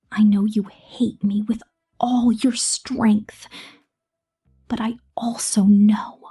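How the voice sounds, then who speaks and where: A woman voicing a possessive succubus pop star in an ASMR roleplay, seductive and menacing, speaking slowly and intimately in breathy whispers. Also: medium pitch, mellow. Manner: slow, deliberate, intimate.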